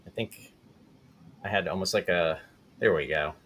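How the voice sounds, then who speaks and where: A man's voice: deep voice, normal speed, fairly bright tone, breathy edge. A man hosting a casual talk show, chatting informally and reading out comments from viewers.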